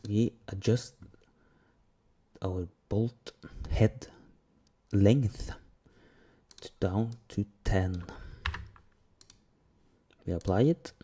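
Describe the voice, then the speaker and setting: Slow, dark-voiced man narrating a tutorial. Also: fairly low pitch, husky. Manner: plain step-by-step narration with pauses. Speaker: software tutorial host. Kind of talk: screen-recorded software tutorial.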